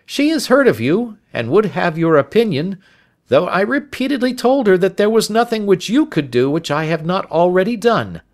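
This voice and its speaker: A man's voice: high voice, sing-song, moderate pace, pitched above the performer's own voice. A man voicing a lean, sly, self-satisfied Scotland Yard inspector in an audiobook, with a cocksure, indulgent manner. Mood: smug, indulgent.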